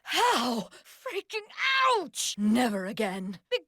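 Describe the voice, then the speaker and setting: An actress voicing a brash, haughty, short-tempered royal fighter in a video game, with an imperious, taunting delivery. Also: female voice, on the high side, sing-song, slow pace, fairly bright tone, husky, dry recording, studio quality, full character voice. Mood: combative, irritated. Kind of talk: video game character battle barks.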